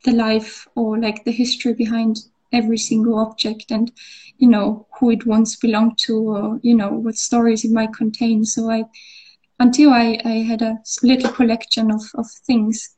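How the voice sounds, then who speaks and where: A woman's voice: a little high, flat delivery, moderate pace, a little mellow, poor audio. A woman from Austria, an artist, talking in a casual interview with lots of fillers and restarts. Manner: hesitant, many fillers and restarts. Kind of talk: conversational interview.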